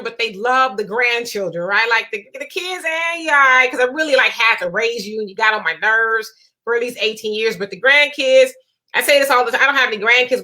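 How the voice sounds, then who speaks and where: A woman in her forties co-hosting a casual live discussion, chatty and animated, driving points home with a rhetorical "right?". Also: a little high. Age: 40s. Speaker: co-host.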